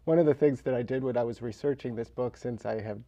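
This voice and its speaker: A man speaking as an interview guest and author, telling anecdotes with dry, deadpan humour. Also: medium pitch, fast talker, muffled recording. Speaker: interview guest, local novelist.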